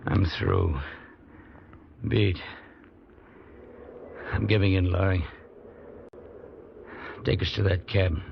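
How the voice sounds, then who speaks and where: Weary, gravelly, deep-voiced police sergeant. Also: male voice, steady tone, full character voice. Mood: weary, defeated. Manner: halting, weary delivery with broken phrases. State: exhausted. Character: exhausted, dutiful, stubborn male police sergeant freezing in wilderness. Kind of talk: old-time radio drama acting. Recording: dull, noisy old radio recording.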